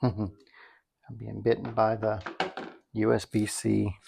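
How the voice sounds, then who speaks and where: A man's voice: on the low side, monotone, slow pace, gravelly, noisy background. A middle-aged man giving a casual, unscripted product review, thinking aloud in a folksy, easygoing way. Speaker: product reviewer, video host.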